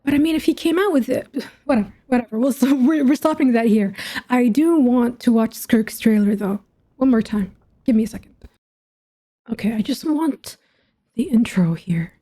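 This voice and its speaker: A woman streamer with a fairly high yet soft, mellow voice reacts to a game story. She thinks out loud casually, questioning what she sees and musing over it in informal, sometimes sweary language. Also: moderate pace. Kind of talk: casual commentary, thinking aloud.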